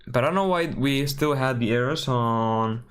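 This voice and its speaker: A man from Spain with a Spanish accent, thinking aloud while building a game on a live stream and often correcting himself with a quick sorry. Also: medium pitch, clear, resonant tone. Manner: mutters through steps, apologizes and corrects himself often.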